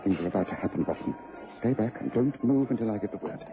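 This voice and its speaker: A man with an English accent playing a shrewd, commanding, dryly calm gentleman detective in vintage radio drama. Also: fairly low pitch, fast, music in the background, natural voice. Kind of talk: 1950s-60s BBC-style radio drama acting. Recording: phone-like old radio recording.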